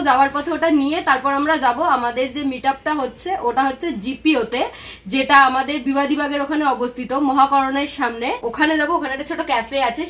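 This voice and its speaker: A woman, hosting a casual travel vlog with chatty excitement, filmed at arm's length. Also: sits high. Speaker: vlogger host. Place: home room, then busy indoor cafe. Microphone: handheld camera at arm's length.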